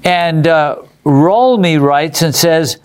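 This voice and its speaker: Man, high-pitched voice, religious program host, reading letters aloud, engaging the group with questions. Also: takes it slowly, clear, resonant tone. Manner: addresses group and viewers directly with questions.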